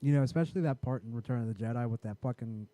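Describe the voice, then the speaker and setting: A man with a raspy voice in casual, profane podcast chat, rambling and often restarting his sentences. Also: mid-range voice, very fast, warm tone, dry recording. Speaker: podcast co-host.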